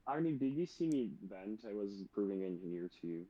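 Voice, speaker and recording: A man's voice: fairly low pitch, very animated, fairly quick, dark tone. A man with a hesitant, stammering way of talking, discussing a game casually. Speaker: player in a group online game. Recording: dull lo-fi voice chat.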